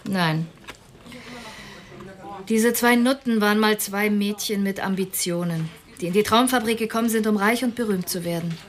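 An actress playing a determined, sharp-witted police detective in a radio drama, businesslike and firm. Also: female voice, medium pitch, moderate pace, close to an everyday voice. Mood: brisk, intent.

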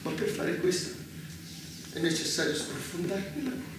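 An actor playing a fanatical, tormented brother in a stage drama, grandiose and pleading, declaiming in halting phrases and repeating himself. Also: male voice, on the high side, expressive, close to an everyday voice. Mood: feverish, grandiose. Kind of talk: stage theatre acting. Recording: echoey stage from far off, faint noise.

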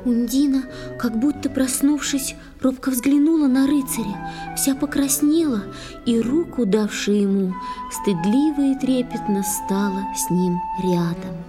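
A woman narrating a verse tale for an audio play in a soft, measured, lyrical way. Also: a little high. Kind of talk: tender dramatic verse storytelling. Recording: music under the voice. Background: music.

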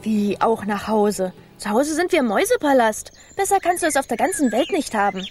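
An actress playing a bossy, hot-tempered young mouse princess, snippy and indignant, in a children's radio play. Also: female voice, on the high side, lively intonation, darkish tone, faint music behind, natural voice. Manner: indignant, snippy, quick-tongued.

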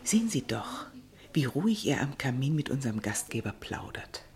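A refined society lady from a radio play, with very lively intonation. She is gossipy but careful. She speaks expressively, as if confiding. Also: a bass voice, normal speed. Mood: teasing, curious. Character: cautious, gossipy society lady at a dinner party. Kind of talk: German radio play dialogue.

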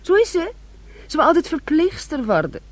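High-voiced, sulky young woman. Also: big pitch swings, moderate pace. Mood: stubborn, dismissive. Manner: sullen, stubborn. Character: sulky, stubborn young woman refusing to get up. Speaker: actor. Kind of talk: radio drama acting.

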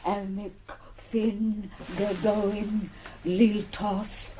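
A woman's voice: mid-range voice, flat delivery, very slow, mellow, a little hiss or hum. An actress playing an entranced witch in a radio drama, chanting strange words one at a time. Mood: droning, trancelike. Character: entranced witch intoning a death spell.

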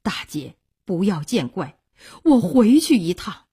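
A woman's voice: medium pitch, very animated, a little slow, dark tone, husky, heavily acted. A woman storyteller playing an emotional, besotted male court clerk in a dramatic manner. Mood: placating.

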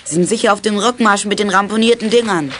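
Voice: mid-range voice, fast, fairly bright tone, slight background noise, lightly acted. An actor playing an eager, food-loving boy detective with an earnest, exclaiming manner in a children's radio play. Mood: eager, concerned. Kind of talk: 1980s German children's radio play acting.

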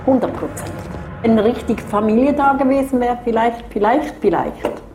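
A woman's voice: a little high, normal speed, warm tone, echoey room, faint music behind. A woman giving a personal interview account in a bitter, emphatic way, repeating herself.

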